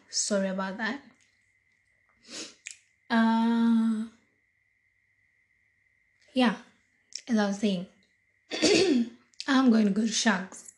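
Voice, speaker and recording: Kenyan woman in her thirties, slightly hoarse, unhurried chatty monologue, lots of ums and pauses, very slow delivery. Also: medium pitch, a little mellow. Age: 30s. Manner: slow, deliberate, chatty with frequent fillers. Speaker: podcast host. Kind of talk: casual solo monologue.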